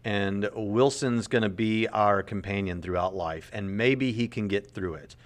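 A man's voice: lowish voice, unhurried. A man in his late thirties, earnest and conversational, commenting on a podcast clip and often repeating words as he reasons aloud. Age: 30s. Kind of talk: apologetics commentary.

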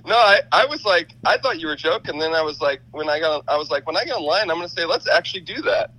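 A man's voice: a little high, expressive, fast, pushed and bright, poor audio. A man with a New Zealand accent, a podcast guest chatting casually in laid-back slang. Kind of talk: casual chat.

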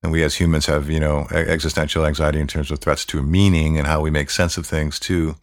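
A man hosting a podcast, talking in a calm, measured way with many fillers. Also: extremely low pitch. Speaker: podcast host. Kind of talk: reflective podcast chat.

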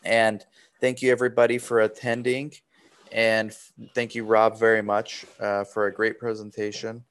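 A man's voice: lowish voice, level tone, moderate pace, a bit bright, clean tone. A man from Romania hosting a webinar, asking questions in a casual, friendly way. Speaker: webinar host. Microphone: video call.

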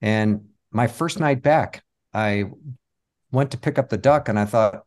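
A man speaking as an interview guest, reflective and halting as he tells stories of his work in conversation. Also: fairly low pitch, a little mellow. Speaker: interview guest, comic magician and stage show creator.

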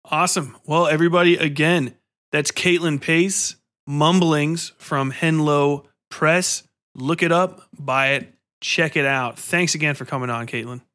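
A man hosting a podcast in a casual, energetic and informal way, chatting freely and swearing now and then. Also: a little high, a little slow. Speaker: podcast host and interviewer. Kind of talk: casual podcast chat and sign-off.

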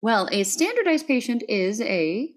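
A woman's voice: fairly high pitch, sing-song, a little slow, slight background noise. A woman hosting a podcast in a conversational interview style, with an informal, chatty manner. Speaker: podcast host.

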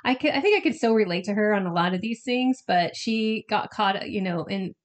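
A woman's voice: medium pitch, animated, fairly quick. A congested woman tells a story as a podcast host in a casual, colloquial way, often acting out imagined bits of dialogue. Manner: chatty, colloquial, frequently quoting imagined dialogue.

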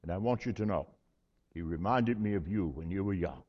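A hearty, hospitable old priest, played by a man in a stage monologue, chuckling as he talks. His voice swings widely in pitch. It rings out in a reverberant hall with a slightly dull, muffled sound. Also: expressive, a little fast. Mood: warm, chatty. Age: older. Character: jovial elderly male priest hosting a friend. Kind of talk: dramatic monologue.

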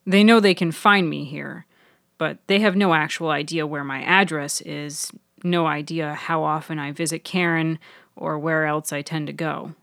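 A woman voicing a reserved, cautious man in a fiction podcast, measured and understated. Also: low-pitched, steady tone, vocal fry, a bit bright, dry recording, studio quality. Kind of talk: audiobook character voice.

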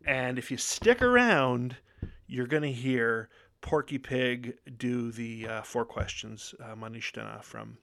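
A man's voice: mid-range voice, unhurried, gravelly. Chatty male podcast host. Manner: chatty, rambling, trails off softly. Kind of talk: casual podcast chat. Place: home setup in Northern Virginia.

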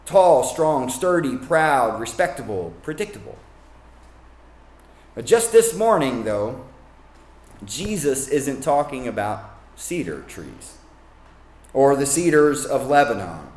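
A man's voice: medium pitch, very animated, slow pace, pauses often, a little mellow, echoey room. A man from Kentucky preaching a sermon in a casual, conversational way, with asides and self-corrections.

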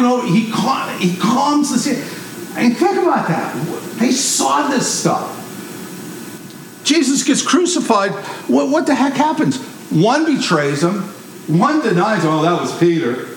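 Preacher, man, high pitch, big voice, animated conversational sermon. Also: slight background noise, low-quality recording.

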